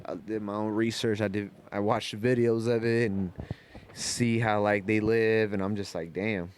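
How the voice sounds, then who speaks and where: A man's voice: fairly low pitch, flat delivery, moderate pace, a little hiss or hum. A man in casual podcast conversation, rambling and slangy in the way he talks. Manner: rambling, slangy, frequent "you know" and "man". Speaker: podcast co-host.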